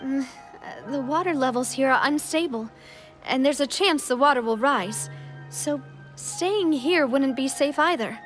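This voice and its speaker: A woman's voice: high voice, a little slow, over music. A woman playing a determined, polite young noblewoman, a brave leader in a video game. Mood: resigned.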